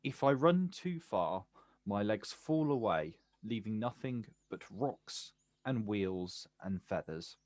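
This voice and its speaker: A man acting a watchful, quietly wounded poet in a naturalistic stage play, speaking deliberately in terse, repeated phrases. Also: fairly low pitch, lively intonation, dry recording. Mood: awkward, gentle.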